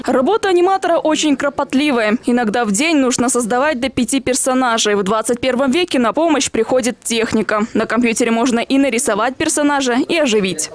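High-voiced woman reporting television news. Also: fast talker, few pauses. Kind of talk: scripted news voice-over.

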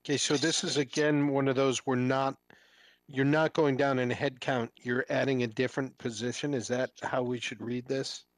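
A man's voice: medium pitch, little pitch movement, normal speed. A man on the board asks direct questions at a public budget hearing. His voice sits in a middle range, and the sound is dry, with no room echo. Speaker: board member.

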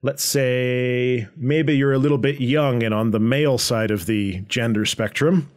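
A man presenting a software tutorial in a conversational, explanatory way with a medium-pitched voice. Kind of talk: informal software tutorial narration.

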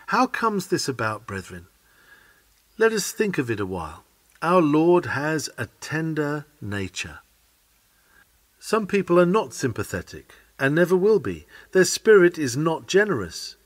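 Man reading a sermon, very animated intonation. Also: fairly high pitch. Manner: earnest, oratorical, with deliberate phrasing. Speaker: sermon reader. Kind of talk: reading a Victorian sermon aloud.

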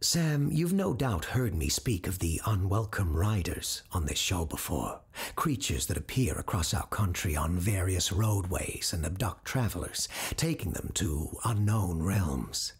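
Deep, hushed, breathy male radio host. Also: lively intonation. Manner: hushed, grave, deliberate delivery. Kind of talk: scripted horror radio drama.

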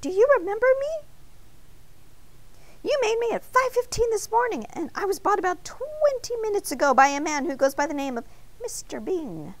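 A woman voicing an excitable, indignant little male donut in a children's storybook, dramatic and exclaiming but very polite when pleading. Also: high voice, unhurried, dry recording, pitched above the performer's own voice, exaggerated voice. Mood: frantic.

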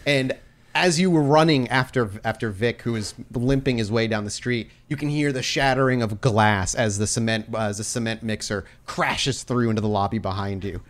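A man's voice: medium pitch, moderate pace. A man running a tabletop roleplaying game, improvising scene narration in a conversational, affirming way. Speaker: game master. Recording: music behind the voice.

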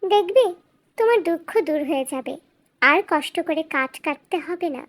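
A woman's voice: very high voice, expressive, normal speed, pitched above the performer's own voice. A woman voicing a clever, cheeky little talking bird in a storybook cartoon, with a theatrical, coaxing and taunting manner. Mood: kindly, coaxing.

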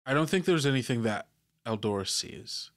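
A man working as game master, narrating a tabletop adventure in halting, deliberate phrases. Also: mid-range voice, lively intonation.

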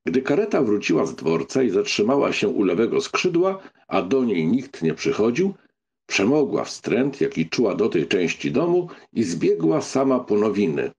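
A man narrating a literary audiobook in an expressive, scripted storytelling style. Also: on the low side, normal speed, vocal fry, breathy edge.

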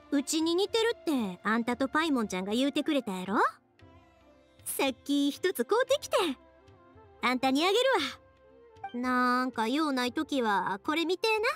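Friendly young woman fireworks maker, anime game, Kansai accent, high and expressive swings. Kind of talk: Japanese anime game voice acting. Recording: game dialogue over music.

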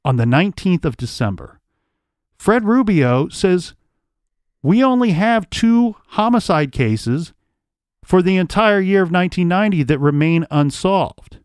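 A man's voice: high-pitched, animated, a little slow, clean tone, no room sound, clean studio sound. A man hosting a true crime podcast, reading old case records aloud and then thinking through theories in casual talk. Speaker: podcast host.

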